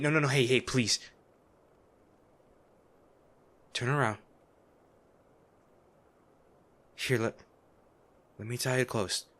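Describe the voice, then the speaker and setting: A man acts out a noble lady's bodyguard in a fantasy audio roleplay. He is a man with a medium-pitched voice, blunt and exasperated. His delivery is emotional and protective, swinging between scolding and anxious care. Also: fairly bright tone. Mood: alarmed, gentle. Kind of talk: dramatic fantasy audio roleplay addressed to the listener.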